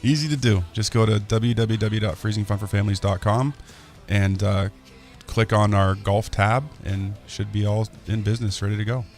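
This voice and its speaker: A man's voice: lowish voice, fairly even intonation, normal speed, few pauses, a little mellow, faint music behind. A man as a guest on a radio show, talking about his charity in a friendly way, chuckling often and restarting sentences. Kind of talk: casual radio interview. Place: radio studio.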